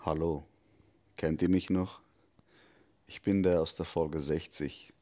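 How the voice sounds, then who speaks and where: Deep-voiced devil played by a man, slow and deliberate, cynical, softly menacing, intimate storytelling monologue. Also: fairly even intonation. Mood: hushed, brooding. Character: calm confiding male devil, cynical, mocking. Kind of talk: scripted dramatic monologue.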